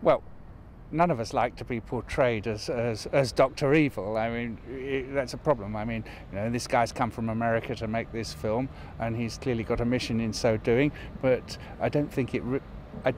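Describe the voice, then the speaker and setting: A man giving a measured, slightly hesitant answer in a news interview. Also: medium pitch, steady tone, a little mellow. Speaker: council politician. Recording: street noise behind voice.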